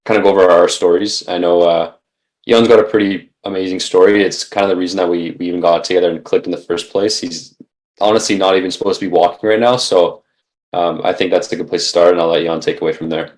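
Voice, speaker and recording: A man's voice: sits low, fast talker. A man in his twenties from Bulgaria, a podcast guest introducing himself casually with many uhs and restarts. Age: 20s. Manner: relaxed and hesitant, many fillers. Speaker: guest, co-founder.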